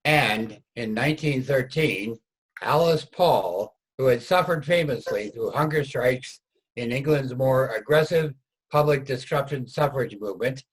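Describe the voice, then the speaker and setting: A man's voice: mid-range voice, breathy edge, low-quality recording. A man reading scripted historical narration for a reader's theater production.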